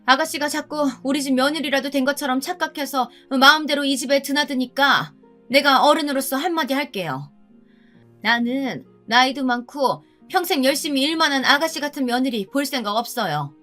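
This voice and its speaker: A woman's voice: sits high, moderate pace, sharp tone, faint music behind, pitched above the performer's own voice. A woman voicing a haughty, sneering older mother who scolds with condescension, in a dramatized story narration. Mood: cold, scolding. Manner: condescending, scolding, mixes polite and casual speech.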